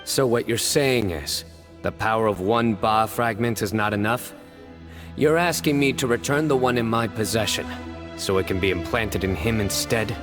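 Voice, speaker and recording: An actor playing a stoic, loyal young guardian with a grave, restrained delivery in a fantasy game. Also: male voice, fairly low pitch, normal speed, music in the background, natural voice. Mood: guarded, questioning. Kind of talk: dramatic fantasy game voice acting.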